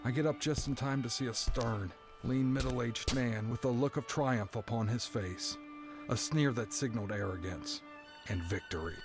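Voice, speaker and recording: A man's voice: mid-range voice, normal speed, natural voice. An actor playing a dread-filled prisoner awaiting his doom, delivering a brooding first-person monologue in an audio drama. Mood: haunted. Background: background music.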